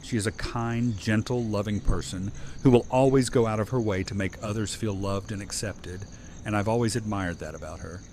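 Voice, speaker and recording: An actor plays a sincere, gentle man in a fan audio drama. His voice sits a little low, with faint noise behind it. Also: normal speed, natural voice. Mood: tender, sincere. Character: Aaron, gentle, sincere man giving wedding toast.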